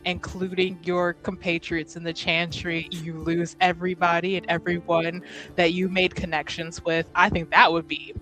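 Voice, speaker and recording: A man's voice: a little high, level tone, moderate pace, sharp tone, faint music behind. A man bantering playfully and casually as a player in a tabletop game. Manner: teasing, playful.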